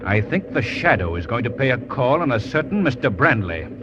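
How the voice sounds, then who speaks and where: An actor playing an urbane, earnest male gentleman detective, in 1940s radio style. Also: mid-range voice, sing-song, a little fast, natural voice. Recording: dull, hissy old radio recording.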